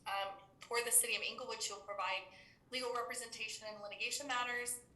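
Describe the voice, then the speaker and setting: A woman with a hoarse voice, speaking formally and a little hesitantly as a city attorney introducing a colleague over a video call. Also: on the high side, fairly even intonation, fast talker, bright, forceful tone. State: hoarse, sore throat. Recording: roomy, echoey video call audio.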